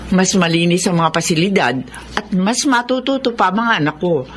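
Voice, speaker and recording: A woman's voice: fairly low pitch, moderate pace, slight background noise, natural voice. A woman acting in a radio drama as a carefree, proud, free-spending housewife with a breezy manner. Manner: breezy, animated.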